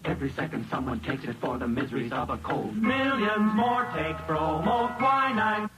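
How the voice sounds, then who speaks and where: A man's voice: a little high, big pitch swings, normal speed, a bit bright, slightly rough, low-quality recording. A man delivering a rhythmic slogan in a 1950s radio commercial. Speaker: jingle voice in a commercial. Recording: vintage radio recording with music.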